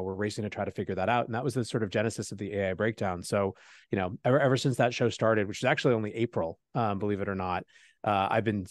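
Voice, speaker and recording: A man answering as a podcast guest, talking quickly and fluently with lots of "you know.". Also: fairly low pitch, no echo, clean studio sound. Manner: rapid, fluent with frequent "you know" fillers. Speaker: podcast guest, show host. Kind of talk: conversational interview answer.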